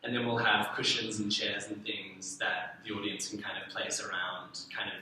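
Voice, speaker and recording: A man's voice: fairly low pitch, flat delivery, normal speed, sharp tone, slight background noise. Young Australian man in a very echoey room. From Darwin, Australia. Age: young adult. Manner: hesitant, self-deprecating, meandering. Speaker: artist and writer being interviewed. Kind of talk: casual interview chat. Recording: very echoey room, distant mic.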